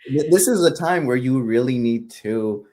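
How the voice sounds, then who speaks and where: A man being interviewed, relaxed and conversational, whose first language is not English. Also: mid-range voice, normal speed. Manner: relaxed, conversational, many fillers and tag questions. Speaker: guest. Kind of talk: casual interview chat.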